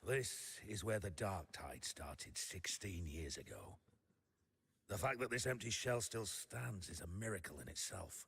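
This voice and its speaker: A man's voice: sits low, animated, a little slow, creaky, fairly bright tone, a little hiss or hum, exaggerated voice. A man playing a grave figure in a video game, speaking in a somber, weighty way. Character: grave man recounting an old catastrophe. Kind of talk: dramatic game voice acting.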